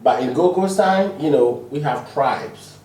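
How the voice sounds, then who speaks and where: A man from Ghana chatting casually on a podcast, pausing often to search for words. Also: fairly high pitch, darkish tone, recorded from a distance. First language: a Ghanaian language. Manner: halting, searches for words with drawn-out uhs. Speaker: podcast co-host. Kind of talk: casual chat. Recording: roomy, from off the mic.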